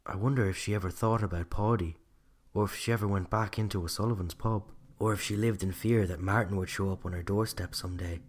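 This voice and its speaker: Very deep-voiced Irish male storyteller. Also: steady tone. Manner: measured, reflective. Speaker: writer and narrator. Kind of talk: scripted true-crime storytelling.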